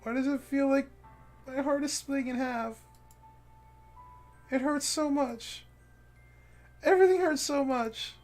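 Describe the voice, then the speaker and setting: A man's voice: measured pace, pauses often, a little mellow. A man voicing a cheerful, clingy teenage girl from a visual novel, in a raised girlish voice that turns tearful. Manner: high girlish voice, breaking into tearful pleading. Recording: faint game music behind.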